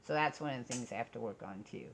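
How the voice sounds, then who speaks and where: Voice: medium pitch, fast talker, creaky, slight background noise. A middle-aged vlogger talking casually to viewers in a rambling, candid and self-reflective way. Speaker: vlogger and game streamer.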